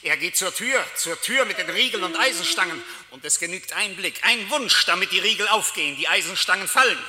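Eerie male Death figure, very sharp forceful voice, solemn incantation, long reverb, radio drama. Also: high voice, expressive, brisk, exaggerated voice. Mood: solemn, insistent. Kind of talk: German radio play acting. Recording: long reverberant hall sound.

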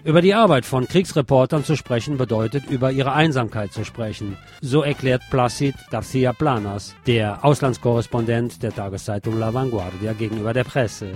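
A male news presenter reads from a script for a local radio bulletin. His voice sits at an average pitch for a man. Instrumental guitar music plays quietly behind him. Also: moderate pace, hardly any pauses.

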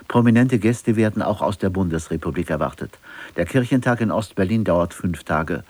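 A man reads the radio news in standard German pronunciation, his voice on the low side. The delivery is formal, measured and factual, with every word carefully enunciated. The sound is dry and close, as from a broadcast studio. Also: darkish tone, husky, no echo. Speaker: radio newsreader. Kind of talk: formal scripted news bulletin.

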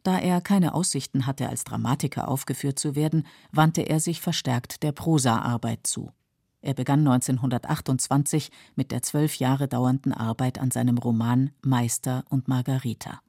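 A woman reads scripted documentary narration in a low, mellow voice. She is measured and factual, articulating carefully, and the recording is dry and close. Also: fairly even intonation, husky, no echo.

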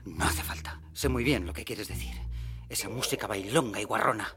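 An actor playing a jaded, touchy middle-aged has-been music producer in a comedic audio drama, given to theatrical grumbling. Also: male voice, on the low side, fairly quick, natural voice. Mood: bitter, exasperated.

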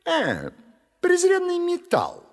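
Casting: male actor as a wistful, self-important gentleman for audio drama; high, affected voice, halting philosophical small talk. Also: wildly swooping pitch, in a large hall, heavily acted. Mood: pompous, hesitant.

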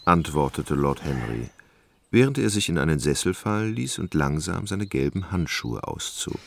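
A man's voice: very deep voice, lively intonation, normal speed, gravelly, a little hiss or hum, heavily acted. A man reading scripted literary narration as the composed narrator of a radio drama.

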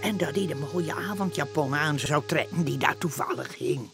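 A woman's voice: low voice, normal speed, vocal fry, a bit bright, faint music behind. A woman acting as the storyteller of a radio comedy, narrating events as she recalls them. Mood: confiding. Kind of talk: scripted narration.

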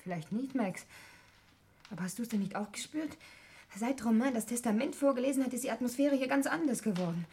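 An actress playing an anxious, defensive young heiress in a 1960s German radio play, hesitant and indignant when challenged. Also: female voice, fairly high pitch, expressive, brisk, dark tone, husky. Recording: faintly noisy 1960s radio recording.

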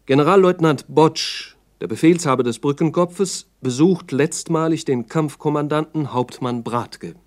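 A man's voice: a little high, a little slow, hardly any pauses. A man narrating a 1960s German radio play in a formal, factual, scripted documentary style with emphatic listing.

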